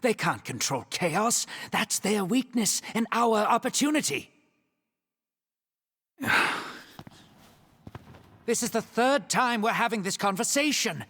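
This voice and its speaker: A man's voice: sits high, animated, slow, long pauses, a bit bright, full character voice. A man playing an insistent, knowing conspirator and guide in a video game cutscene, his voice in long reverb. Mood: exasperated. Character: scheming, impatient male conspirator guide.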